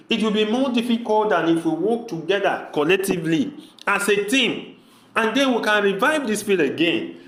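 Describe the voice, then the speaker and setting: A man's voice: fairly high pitch, natural voice. A man with a Nigerian accent playing an earnest village man pleading with his brother in an amateur audio drama, asking repeated questions. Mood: persuasive. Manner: pleading, repetitive rhetorical questions. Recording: echoey room.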